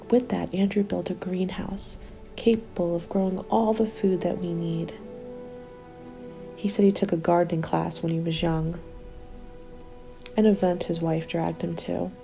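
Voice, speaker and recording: A woman playing a hopeful survivor of a zombie apocalypse in an ASMR roleplay, soft-spoken and often slipping into whispers. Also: on the low side, gravelly. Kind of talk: ASMR roleplay, intimate storytelling. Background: background music.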